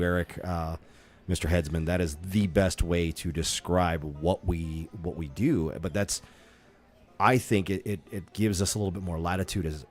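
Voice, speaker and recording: A man chatting on a podcast about performing at a festival, speaking in a halting way with many restarts; his first language was Taiwanese. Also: sits low. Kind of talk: casual podcast chat.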